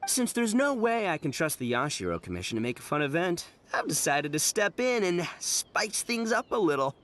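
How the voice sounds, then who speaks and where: A boastful young oni gang boss for an anime game. His voice is high, bright and punchy, with big lively swings. He swaggers in slangy, theatrical bursts over music. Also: moderate pace, a little hiss or hum. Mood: scheming, boastful. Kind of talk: anime game dub acting.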